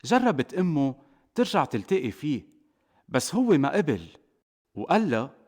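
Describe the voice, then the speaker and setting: A man with a Lebanese accent narrating a scripted children's story as a father would, in an expressive way. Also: on the high side, normal speed. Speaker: narrator, a father telling a saint's life to children.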